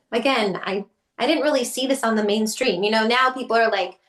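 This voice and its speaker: A woman's voice: on the high side, normal speed. A woman guest on a podcast, telling stories casually with lots of fillers and little laughs. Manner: chatty, many fillers and laughs, lively quoted asides. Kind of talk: casual conversational storytelling. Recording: echoey room.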